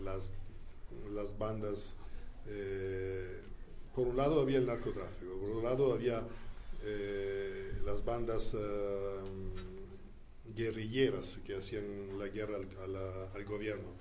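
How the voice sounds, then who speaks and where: A man who learned Spanish as a second language recounts his years as a Church diplomat in an interview, speaking deliberately with frequent pauses. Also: lowish voice, little pitch movement, darkish tone, slight background noise. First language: not Spanish.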